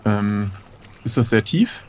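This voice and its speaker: A man's voice: fairly low pitch, animated, takes it slowly, a little hiss or hum. A man role-playing casually as a player in an improvised adventure, speaking in a hesitant, inquisitive way.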